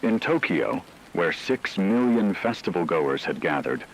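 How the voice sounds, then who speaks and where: Radio drama anchor, played by a man: very low voice, grave and measured, scripted doom bulletins over faint rainy noise. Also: sing-song, gravelly, full character voice, rough recording. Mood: grave, ominous. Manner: solemn, ominous, measured. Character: grave male radio news anchor reporting a werewolf apocalypse. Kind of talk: scripted dramatic news narration.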